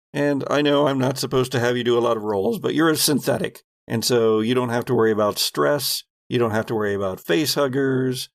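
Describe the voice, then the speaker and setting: Gravelly man game-mastering a horror tabletop game. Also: mid-range voice, no echo. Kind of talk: narrating a tabletop horror role-playing game.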